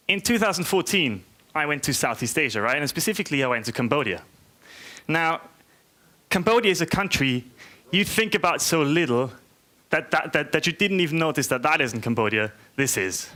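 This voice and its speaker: A man's voice: medium pitch, pushed and bright. A man from Austria, giving an informal, chatty, joking tech conference talk and often checking in with "right?". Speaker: conference speaker, software developer. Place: conference room, live talk to an audience.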